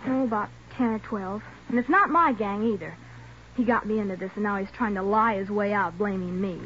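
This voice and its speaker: An actress playing a defiant, brash nineteen-year-old gang suspect, sharp-tongued and blaming others, in a 1940s radio drama. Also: female voice, medium pitch, a little fast, close to an everyday voice. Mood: sullen confessing. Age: young adult. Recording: noisy, muffled 1940s radio recording.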